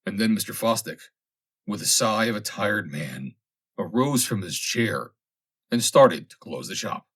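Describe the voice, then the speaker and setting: A man narrates a humorous vintage tale in a rather low, husky voice. His pitch moves in animated swings, and he phrases things deliberately, with theatrical emphasis on key words. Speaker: audiobook narrator.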